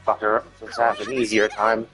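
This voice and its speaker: A man's voice: lowish voice, level tone, normal speed, over music, rough recording. A man commentating casually on a live game stream, thinking aloud in a hesitant, rambling way and repeating himself, with casual swearing.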